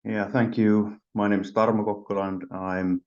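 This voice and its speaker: Man from Finland, very low voice, gravelly, hesitant with fillers, dull lo-fi call sound. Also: level tone, moderate pace, darkish tone. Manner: hesitant, many fillers and restarts. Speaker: speaker. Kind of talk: personal talk on video call.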